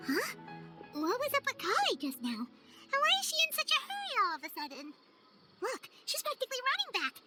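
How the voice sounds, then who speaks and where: A little flying fairy girl from a video game, with a piercingly high, childlike voice. She is excitable and theatrical, swinging all over in pitch, and talks about herself in the third person over loud music. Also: fairly quick, heavily acted. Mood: concerned, puzzled. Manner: refers to self in third person, dramatic. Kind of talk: video game cutscene voice acting. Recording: music behind the voice.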